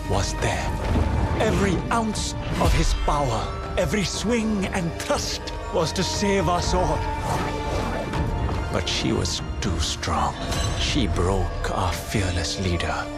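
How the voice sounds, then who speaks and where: An actor playing a grieving soldier, survivor of a massacre, in a fantasy video game, with solemn, halting delivery. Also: male voice, fairly high pitch, very animated, exaggerated voice. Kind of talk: dramatic video game voice acting. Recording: loud music under the voice. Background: loud music.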